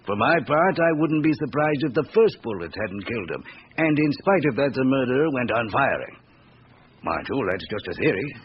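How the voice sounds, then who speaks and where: A male voice with lively swoops in pitch, an actor as an observant policeman musing aloud in a classic radio mystery. He is hesitant and speculative. The recording is dull and a little noisy. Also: normal speed, close to an everyday voice. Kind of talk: classic radio drama acting.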